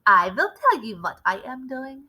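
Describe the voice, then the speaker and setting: A woman voicing an imperious, gloating Grand High Witch with a theatrical, deliberate, commanding delivery in a storybook reading. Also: big pitch swings, pushed and bright, full character voice.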